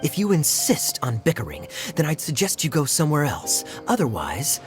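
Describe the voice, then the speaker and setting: A man's voice: a little high, wildly swooping pitch, normal speed, faint music behind. A man playing a firm, authoritative man who keeps younger people in line, in a video game. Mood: stern.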